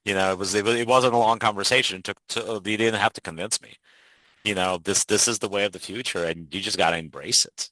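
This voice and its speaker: A man's voice: lowish voice, fairly even intonation, quick pace, bright, forceful tone, no room sound, low-quality recording. A man from Ukraine hosting a podcast, talking in an earnest, persuasive way with frequent restarts. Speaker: podcast host. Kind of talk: casual interview chat.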